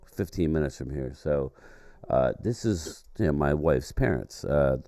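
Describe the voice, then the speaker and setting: A man co-hosting a casual livestream chat, with a deep voice made raspy by a chest cold, talking haltingly with many fillers. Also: animated, mellow, no room sound. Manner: halting, frequent fillers and restarts. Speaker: co-host.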